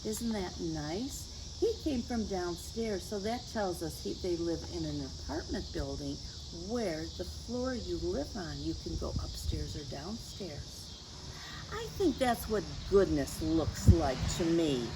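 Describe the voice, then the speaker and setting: A woman hosting a children's story time, reading aloud in a gentle, teacherly way and speaking directly to young listeners. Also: fairly low pitch, big pitch swings, takes it slowly, noisy background. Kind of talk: picture book read-aloud for children.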